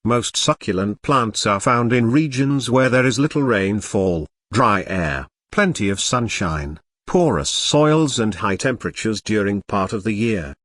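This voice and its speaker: A man reading formal text aloud as scripted exam practice narration, with careful, measured phrasing. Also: lowish voice, expressive, a bit bright.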